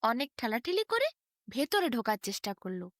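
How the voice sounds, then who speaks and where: A woman's voice: fairly high pitch, very animated, dry recording, studio quality. A woman voicing a lazy but crafty barber spinning tall tales in a sly, boastful way. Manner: sly, boastful, drawn-out storytelling.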